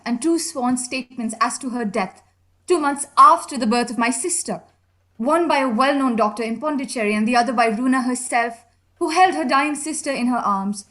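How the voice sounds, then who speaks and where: Casting: young heiress at an inquest, high voice, earnest and grieving, formal period radio drama. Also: female voice, a bit bright.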